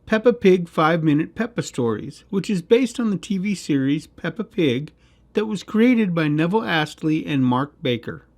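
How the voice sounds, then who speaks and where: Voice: medium pitch, expressive, moderate pace, a little hiss or hum. A man reading a scripted introduction and credits as an announcer.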